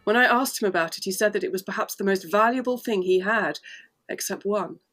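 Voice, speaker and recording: A woman playing an earnest, anxious young gentlewoman in a gothic audio drama. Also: medium pitch, brisk, faint music behind, close to an everyday voice. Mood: troubled, hushed.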